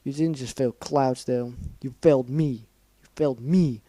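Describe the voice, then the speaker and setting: A man's voice: mid-range voice, moderate pace, dark tone, no room sound, close to an everyday voice. A man voicing a cruel, bitter female pegasus villain who screams accusations in a fan story reading.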